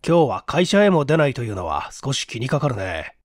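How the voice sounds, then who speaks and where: A man voicing a sensible, teasing senior clerk in a story reading, measured and slightly authoritative. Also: medium pitch, very animated, dry recording, clean studio sound, voice pushed down. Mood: serious.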